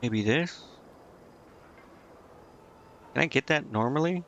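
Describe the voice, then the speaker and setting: A man's voice: medium pitch, lively intonation, brisk, a bit bright, highs cut off, a little hiss or hum. A man thinking aloud in casual, indecisive gameplay commentary, muttering to himself as he streams. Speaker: game streamer.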